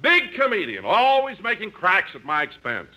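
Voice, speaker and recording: A man playing a touchy, indignant heavyset fellow with sarcastic, booming delivery in 1950s radio comedy. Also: huge pitch swings, normal speed, sharp tone, lo-fi sound, full character voice. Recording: old radio recording, faint noise.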